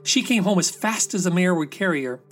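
A man's voice: high-pitched, expressive, a little fast, fairly bright tone. A man narrating a fairy tale as a scripted storybook reading, with music behind him. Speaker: storybook reader.

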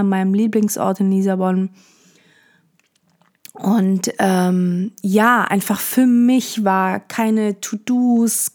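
A young woman from Portugal hosting a podcast, talking in a reflective, gentle way with many fillers. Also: medium pitch. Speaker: podcast host. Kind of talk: casual personal monologue.

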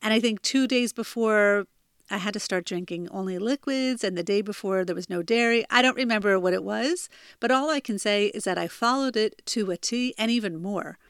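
Woman, 40s, American accent, podcast host, chatty, earnest, confiding, medium pitch, dry bedroom sound. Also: normal speed, fairly bright tone, clean tone, dry recording. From New Jersey, US. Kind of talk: personal self-help podcast talk. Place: childhood bedroom.

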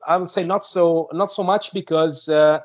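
A man's voice: on the high side, steady tone, normal speed. A man giving his opinion on a podcast, speaking hesitantly in non-native English and searching for words. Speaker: podcast panelist.

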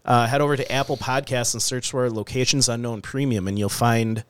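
A man's voice: medium pitch, brisk, smooth, no room sound, clean studio sound. A man co-hosting a podcast in casual chat, sounding slightly nasal from allergies. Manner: relaxed, chatty, frequent "uh" and "um". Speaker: podcast co-host.

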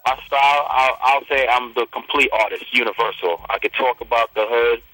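A man's voice: mid-range voice, steady tone, normal speed, sharp tone, no room sound, soft background music, rough recording. A young man from Trinidad, a rapper, talking confidently and promoting himself in a casual interview. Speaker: rapper guest.

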